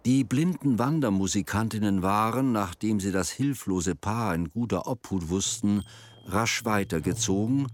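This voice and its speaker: A man narrating a radio play as a storyteller, in a deliberate, expressive manner. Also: fairly low pitch, slight background noise.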